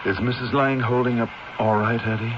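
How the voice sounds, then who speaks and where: An actor playing a weak, dying, bedridden man, wistful and resigned, speaking faintly and haltingly in 1970s radio drama style. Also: medium pitch, steady tone, close to an everyday voice. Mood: resigned, gentle. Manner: slow, faint, halting speech. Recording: dull, noisy 1970s radio drama recording.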